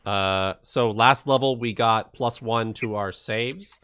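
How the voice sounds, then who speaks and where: A man chatting casually as a tabletop game player, playful and quick to exclaim. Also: medium pitch, a bit bright, clear, resonant tone, no room sound. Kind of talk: casual chat in an online tabletop game session.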